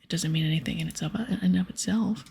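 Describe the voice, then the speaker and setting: A woman voicing a skeptical, matter-of-fact wizard guild official from a fantasy game in a breathy, whispery voice. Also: lowish voice, quick pace, a little mellow, a little hiss or hum. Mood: doubtful.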